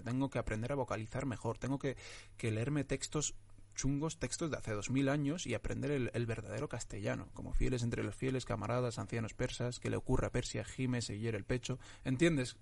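Man, twenties, interview guest, frequent restarts and fillers, mid pitch. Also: fairly quick, vocal fry, a little mellow. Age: 20s. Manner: talks in restarts with many "o sea" fillers. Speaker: actor guest. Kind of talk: casual interview conversation.

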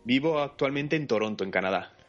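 A man's voice: medium pitch, animated, a little fast, highs cut off. Madrid man in interview, music behind. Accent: Madrid Spanish. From Madrid, Spain. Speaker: interview guest, entrepreneur. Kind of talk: conversational interview answers.

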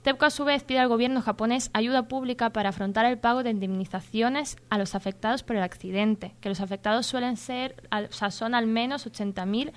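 Female radio news contributor, fairly high smooth voice, conversational delivery with stumbles and self-corrections. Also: fairly quick, few pauses. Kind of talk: reading news on an informal comedy radio show.

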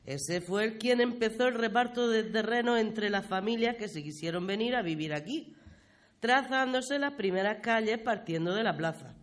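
A man's voice: high voice, normal speed, fairly bright tone. A man playing a patient local villager in a radio play, explaining things colloquially. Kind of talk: conversational radio play acting.

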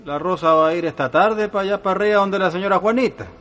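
A man's voice: high-pitched, quick pace, fairly bright tone. A man playing a humble, stubborn peasant in a radio drama, with a rural Chilean accent and a rustic, deferential way of talking. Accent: rural Chilean Spanish. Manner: rustic, deferential, trailing tags.

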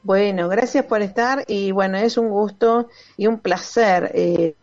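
A woman's voice: mid-range voice, a little slow, darkish tone, no room sound, muffled recording. A woman radio host, chatting casually with guests, full of fillers and tag questions.

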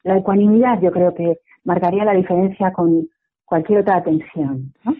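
A woman's voice: fairly low pitch, moderate pace. A woman from explains things reflectively as an interview guest, often checking for agreement at the end of phrases. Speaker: mindfulness teacher, interview guest. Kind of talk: radio interview, explanatory conversation. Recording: dull lo-fi radio sound.

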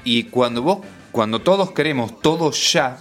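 An Argentine man with a Rioplatense accent chatting reflectively as a guest, halting and repeating words as he thinks. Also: mid-range voice, animated, soft background music. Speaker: podcast guest. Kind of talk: casual reflective chat.

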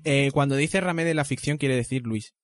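A man's voice: mid-range voice, normal speed, a loud crowd. A man presenting casually as a panellist on a radio show.

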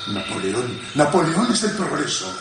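A man's voice: a little high, very animated, moderate pace, creaky, noisy background. Man acting anguished father, voice swinging widely. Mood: bitter, anguished. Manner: melodramatic, tender then cursing. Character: anguished, bitter father protecting his infected daughter. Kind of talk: theatrical radio drama. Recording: very echoey, distant, music behind.

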